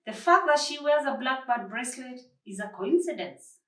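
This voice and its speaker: A woman's voice: high-pitched, expressive, moderate pace, fairly bright tone, voice pushed up. Woman reading as an indignant, protective uncle, roomy sound. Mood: reassuring, indignant. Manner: emphatic, protesting. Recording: roomy, distant microphone.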